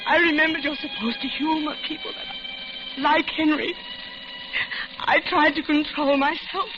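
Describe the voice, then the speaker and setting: An actress playing a terrified captive woman in a radio suspense drama, halting and pleading as she humors her captor. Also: high-pitched, sing-song, music in the background. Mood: strained, resigned. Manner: breathless, halting, repeating herself in fear. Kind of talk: 1940s-style radio suspense acting with first-person narration. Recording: muffled old radio recording with crackling hiss.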